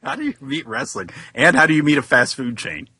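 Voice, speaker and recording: A man's voice: medium pitch, huge pitch swings, fairly quick, sharp tone, breathy edge, dry recording, clean studio sound. A man co-hosting a comedy podcast, chiming in with casual, wry banter. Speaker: podcast co-host.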